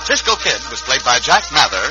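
A man's voice: medium pitch, very animated, fairly quick, lo-fi sound, lightly acted. A man announcing an old radio serial in a dramatic, formal scripted style. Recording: noisy vintage radio recording with organ music.

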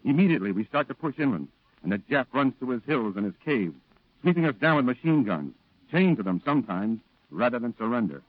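Grave wartime radio narrator, muffled. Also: male voice, medium pitch, very animated, a little fast, no room sound. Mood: grim, urgent. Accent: American. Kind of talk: dramatic scripted radio narration, 1940s. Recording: muffled old radio broadcast, music underneath.

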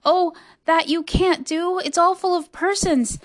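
A woman's voice: very high-pitched, fairly even intonation, unhurried, no echo, clean studio sound, pitched above the performer's own voice. A woman voicing a kindly, loyal, anxious elephant from a storybook, with an emphatic, pleading delivery. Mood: urgent, alarmed. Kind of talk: storybook character voice.

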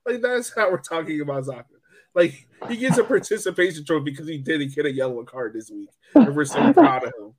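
A man with a fairly high voice hosts a casual fan podcast about sports. His delivery is jokey and animated, with pitch that moves around a lot. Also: brisk.

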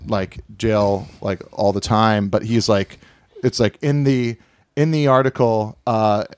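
A man's voice: on the low side, fairly even intonation, slow pace, a little mellow, clean tone, dry recording. A man from Hawaii telling a family story in casual chat, rambling with many fillers and restarts.

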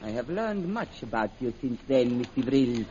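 A man's voice: medium pitch, sing-song, moderate pace, darkish tone, close to an everyday voice. Man playing a haughty villain prince, accusing, theatrical, old radio drama, dull recording with light hiss. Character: menacing imperious villain prince, cruel and suspicious. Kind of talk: 1930s radio serial acting.

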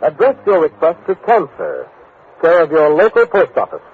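An American man announces on 1950s radio with formal, earnest emphasis. His voice is husky and swings expressively. The recording is thin and phone-like. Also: fairly high pitch, huge pitch swings, normal speed.

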